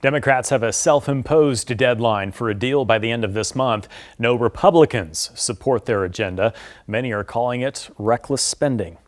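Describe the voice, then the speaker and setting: American male news anchor, mid-pitched voice. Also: normal speed. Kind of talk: scripted newscast.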